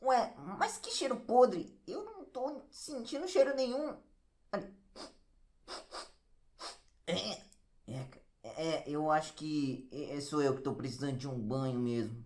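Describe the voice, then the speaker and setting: Woman playing an evasive, animated, nervous mother. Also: deep voice, slow, pauses often. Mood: sheepish. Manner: hesitant, defensive, colloquial. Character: evasive, nervous mother making flimsy excuses. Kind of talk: comic audio drama acting.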